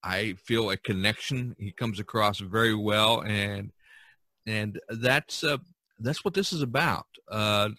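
Low-pitched, bright-voiced male podcast host. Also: slow pace, no room sound. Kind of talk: casual podcast conversation.

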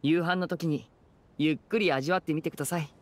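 An actor playing a courteous, smooth-talking teenage magician in an anime game. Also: male voice, fairly high pitch, steady tone, a little fast, close to an everyday voice. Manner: courteous, persuasive.

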